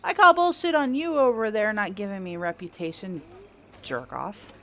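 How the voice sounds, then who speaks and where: A woman's voice: mid-range voice, expressive, normal speed, sharp tone. A woman giving casual gameplay commentary, rambling and thinking aloud with the odd mild swear. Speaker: gameplay streamer. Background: video game music and sounds.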